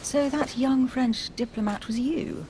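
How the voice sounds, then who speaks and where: A woman's voice: unhurried, warm tone. A woman playing a poised, flirtatious and enigmatic duchess in a video game, refined and teasing. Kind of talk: video game cutscene acting. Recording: constant rumble behind the voice.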